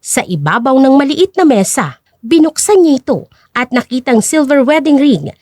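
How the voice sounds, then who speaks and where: A woman's voice: high voice, sing-song, moderate pace, darkish tone, no room sound, studio quality. A woman reading scripted dramatic story narration as the narrator.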